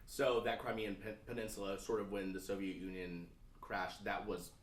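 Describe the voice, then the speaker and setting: A man's voice: on the low side, level tone, moderate pace. A male TV news interviewer asking casual, rambling questions with many fillers. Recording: roomy sound, distant from mic.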